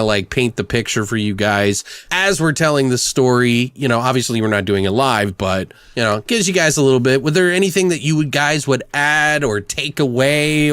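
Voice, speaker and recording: A man's voice: mid-range voice, fairly bright tone, clear, resonant tone, no echo. A man hosting a podcast, chatting casually in a joking manner with plenty of swearing. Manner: profane, joking. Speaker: podcast host.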